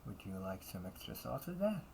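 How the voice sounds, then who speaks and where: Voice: mid-range voice, very animated, a little fast, gravelly, a little mellow. Flustered delinquent butler-cafe waiter over background noise, acted out. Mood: courteous, restrained. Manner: stiffly formal service politeness, slipping into muttered threats. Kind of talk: amateur audio roleplay.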